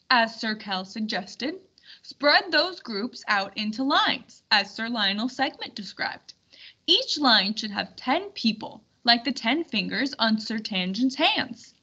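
A woman's voice: on the high side, sing-song, slow pace, sharp tone, slightly rough, highs cut off, heavily acted. A woman voicing an eager, take-charge medieval knight giving directions in a storybook. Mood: commanding. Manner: proclaiming, directing.

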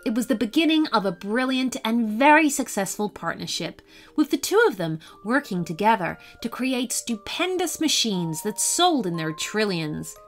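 A woman narrating a children's bedtime story in an expressive storytelling manner. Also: a little high, normal speed, faint music behind. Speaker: storyteller and channel host.

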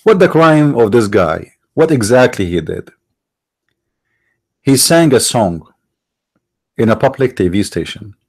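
A middle-aged man with an Arabic accent hosting a commentary monologue, halting, restarting words and stressing his points. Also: mid-range voice, animated. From Middle East. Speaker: online show host.

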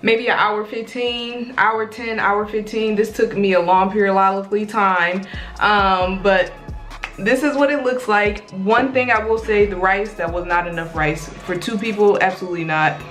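A woman's voice: mid-range voice, steady tone, normal speed, a bit bright. A young woman in her twenties talks straight to her viewers from a small kitchen, chatty and emphatic. Her mid-range voice bounces around the echoey room, with faint music underneath. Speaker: vlogger. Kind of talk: casual cook-with-me vlog.

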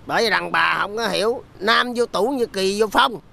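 Fawning drinking buddy, very high-pitched. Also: male voice, normal speed, creaky, exaggerated voice. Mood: flattering, consoling. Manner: flattering, coaxing drinker's talk. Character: fawning, tipsy male drinking buddy, wheedling flatterer. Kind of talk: folk-tale film comedy acting.

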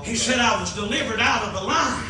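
A man preaching a shouted, fervent revival sermon in a church. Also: very high-pitched, fairly quick, a crowd in the background. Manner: loud, fervent, preaching in bursts. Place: church sanctuary. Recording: echoey church, far from mic, congregation responding.

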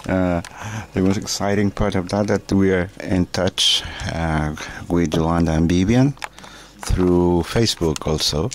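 Male amateur radio operator, very low voice, Chilean Spanish accent, effusive and searching for words while commenting on a contact. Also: a little slow, a little hiss or hum. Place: indoors at a desk, small room.

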